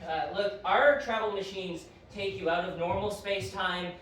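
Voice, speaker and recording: An actress playing a determined, evasive time traveler in a science fiction audio drama, informal and insistent. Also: female voice, medium pitch, fairly even intonation, normal speed, fairly bright tone, far from the mic, natural voice. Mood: evasive, explaining. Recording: very echoey, off-mic, faint noise.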